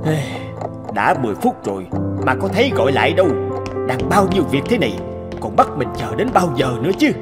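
A man's voice: mid-range voice, sing-song, a little fast, over loud music, lightly acted. A man voicing a busy, grumbling male research scientist in a cartoon, with a harried manner. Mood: exasperated. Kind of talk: cartoon voice acting.

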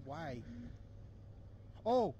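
A man chatting and joking excitedly while playing a co-op game with friends. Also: fairly high pitch, very slow, dull audio, heavy background noise. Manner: jokey, excitable outbursts. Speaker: gaming channel host. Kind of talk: casual gaming chat with friends.

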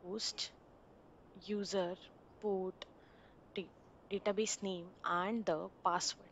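A woman narrating a screen-recorded software installation walkthrough, giving plain step-by-step instructions over a desktop mic. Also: medium pitch, fairly even intonation, slow, muffled recording, slight background noise.

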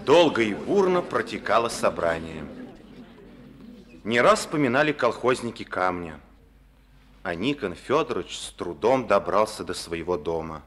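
A man narrates an old radio play in a solemn, declamatory way. His voice is low and steady. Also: normal speed, a little hiss or hum. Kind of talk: scripted radio drama narration.

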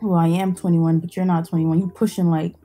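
A woman's voice: on the low side, flat delivery, fairly quick, dark tone, faint music behind. A woman of about twenty-one trading dry, sarcastic banter over a video call webcam. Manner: dry sarcastic back-and-forth. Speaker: video chat stranger. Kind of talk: casual video chat banter.

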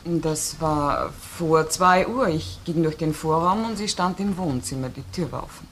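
Low-voiced, guarded widow. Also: female voice, measured pace. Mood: guarded, reserved. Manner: guarded, clipped, matter-of-fact answers. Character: reserved widowed sister-in-law, guarded, cool, curt witness. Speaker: actress in a crime radio play. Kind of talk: German radio crime drama acting. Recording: old radio recording, faint noise.

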